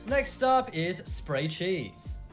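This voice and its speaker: Lively listicle narrator over faint music. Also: medium pitch, very slow. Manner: explanatory, steady listicle delivery. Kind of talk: scripted narration.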